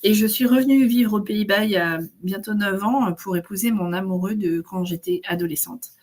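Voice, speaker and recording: A woman's voice: mid-range voice, a little fast, a little mellow. A woman in her forties telling her story in a casual interview, chatty with many fillers. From Netherlands. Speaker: guest, maternity carer.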